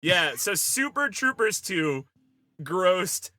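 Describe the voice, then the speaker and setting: A man bantering casually on a comedy podcast, pitching ideas in a blunt, profane, emphatic way. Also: big pitch swings, slow pace, sharp tone, dry recording. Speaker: podcast panelist pitching a film idea.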